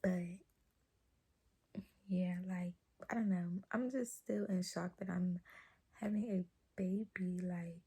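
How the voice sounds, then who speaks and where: A young woman vlogger with a naturally low voice, chatting casually to a camera held close to her face, talking softly and rambling with lots of fillers. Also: fairly even intonation, slow, pauses often, husky. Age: young adult.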